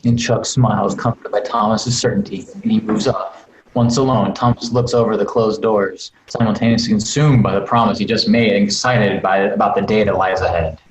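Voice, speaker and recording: A man's voice: on the low side, steady tone, a little fast, a little hiss or hum. A man reading stage directions as scripted narration at a table read over a video call. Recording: roomy, echoing video call audio.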